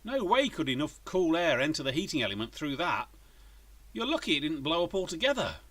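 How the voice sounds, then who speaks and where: A man's voice: on the high side, big pitch swings, a little fast, fairly bright tone, slightly rough, pitched above the performer's own voice, full character voice. A man voicing an earnest, inquisitive schoolboy inventor who reasons things out in a children's story.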